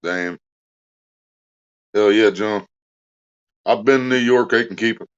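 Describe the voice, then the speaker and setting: A man's voice: lowish voice, slow pace, highs cut off. A man hosting a casual livestream, cracking crude jokes and reading out donations. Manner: crude joking, reads donations aloud. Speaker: livestream host.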